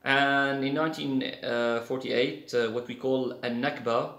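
A man, a guest in a casual interview, talking haltingly with many fillers and restarts. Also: mid-range voice, fairly even intonation, fairly bright tone, roomy sound. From Syria. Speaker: guest, CG artist.

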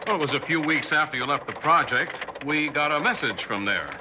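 A man's voice: fairly high pitch, animated, fairly quick, noisy background, natural voice. An actor playing an eager, friendly male space scientist in a 1960s radio drama. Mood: friendly, earnest. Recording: muffled 1960s radio recording.

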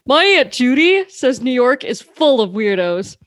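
A woman doing a comedic impression of her female co-host, pitching her voice up and putting on a bouncy delivery. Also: very animated, unhurried. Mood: goofy.

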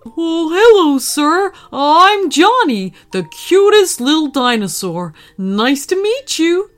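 A woman voicing a cheerful, playful little boy dinosaur in a storybook reading, talking in an excitable, bubbly way. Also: high voice, takes it slowly, soft background music, pitched above the performer's own voice, heavily acted. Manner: bubbly, excitable, stammers when upset.

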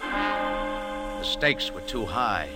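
Tough male bar owner, sardonic, mid-pitched with lively inflection, hardboiled vintage radio, music behind. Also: very slow, exaggerated voice. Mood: wry narration. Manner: dry, sardonic, clipped slangy talk. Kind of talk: 1940s radio acting with first-person hardboiled narration.

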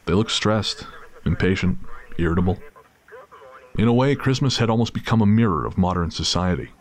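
A man narrating a scripted audio drama as storyteller. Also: fairly low pitch.

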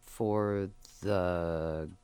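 An actress playing an incredulous, sardonic person being questioned in a comic audio drama. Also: female voice, rumbling low, steady tone, drawn-out words, mellow, clear, resonant tone, no echo, heavily acted. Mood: sardonic disbelief. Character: incredulous, sceptical interview subject facing a polygraph. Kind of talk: comedic audio drama acting.

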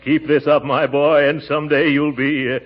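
A man's voice: a little high, level tone, normal speed, breathy edge. A man playing a pompous, stout middle-aged uncle, easily flustered, hemming his way through a 1940s radio comedy. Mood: pompous, doubtful. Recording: muffled old-time radio recording.

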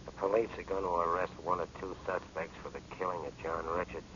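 An actor playing a cold, callous killer with a coolly menacing manner, in 1940s radio crime style. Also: male voice, deep voice, steady tone, fast, bright, forceful tone. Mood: cold, smug. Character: cold, callous male killer reporting a job. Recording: dull, noisy old radio recording.

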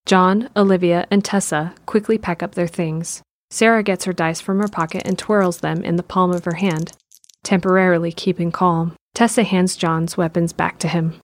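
Lower-pitched woman narrating an audio drama. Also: fairly even intonation, moderate pace, no room sound. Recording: faint music under the voice.

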